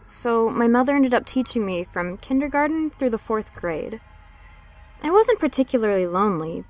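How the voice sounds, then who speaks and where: A woman giving a narrated reading of an eerie first-person childhood story. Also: mid-range voice. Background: background music.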